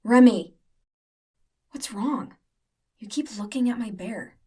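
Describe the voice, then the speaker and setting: A woman voicing a calm, gracious young female student in a comic dub. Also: a little high, slow, slightly rough, distant mic. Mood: puzzled.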